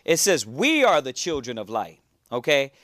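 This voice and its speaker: A man's voice: medium pitch, huge pitch swings, normal speed, fairly bright tone, no echo, clean studio sound. A man teaching a religious class on a cable show, earnest and repetitive in his preaching.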